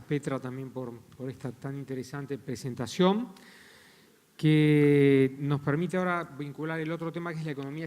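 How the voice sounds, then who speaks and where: A man's voice: mid-range voice, darkish tone. Courteous moderator man in an echoey hall. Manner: formal, courteous. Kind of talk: introducing a speaker.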